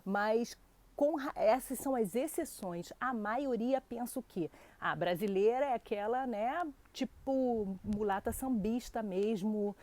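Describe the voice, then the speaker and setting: A woman's voice: on the high side, unhurried, a little mellow. A woman from Brazil with a Brazilian Portuguese accent, hosting her own channel and answering a viewer's question in a chatty, direct way. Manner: chatty, talks straight to viewers, many fillers. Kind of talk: casual talk to camera.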